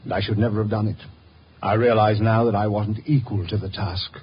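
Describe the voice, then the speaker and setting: A man's voice: lowish voice, little pitch movement, brisk, close to an everyday voice. An actor playing a troubled, genteel middle-aged father in a 1950s radio drama. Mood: despairing, defensive. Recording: dull, noisy 1950 radio recording.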